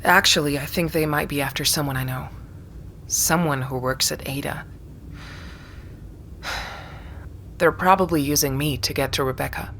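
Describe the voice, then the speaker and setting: A woman's voice: sits low, normal speed, pauses often, fairly bright tone, slight background noise. An actress playing an earnest young woman who explains her suspicions insistently, in naturalistic audio drama dialogue. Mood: urgent, suspicious. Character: earnest young woman convinced of a tech conspiracy.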